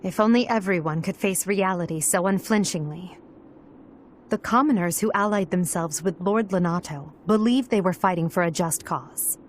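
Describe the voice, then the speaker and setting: A woman playing a composed, resolute young noblewoman in a video game, speaking in a measured, earnest, formal way. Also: medium pitch, a little hiss or hum, close to an everyday voice. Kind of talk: scripted video game voice acting. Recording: loud music behind the voice. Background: game soundtrack music.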